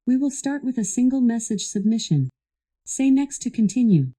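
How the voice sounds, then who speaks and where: Very mellow, dark-voiced female assistant. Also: fairly high pitch, sing-song, normal speed. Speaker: voice assistant guiding a product demo. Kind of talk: scripted instructional narration.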